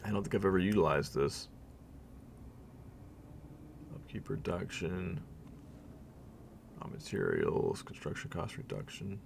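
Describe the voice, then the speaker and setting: A man with a very low voice, rough with vocal fry and weak from a long weekend of talking. He commentates his game live, musing aloud and reading bits of text to viewers. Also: wildly swooping pitch, unhurried, pauses often, noisy background. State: weak, worn-out voice. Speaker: streamer.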